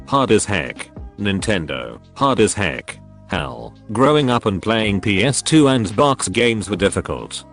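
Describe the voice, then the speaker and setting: A man narrating forum posts aloud in a steady, even reading voice. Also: fairly low pitch. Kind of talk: scripted narration. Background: faint background music.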